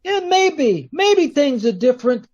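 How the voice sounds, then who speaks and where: A man acts out a brash American small-time crook from a 1940s radio crime play. The slangy, self-assured talk comes slowly in a high voice, through a dull, lo-fi recording. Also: sing-song, exaggerated voice. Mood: cocky, brusque. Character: cocky small-time male fur thief, brusque sweetheart. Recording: dull, lo-fi video-call sound.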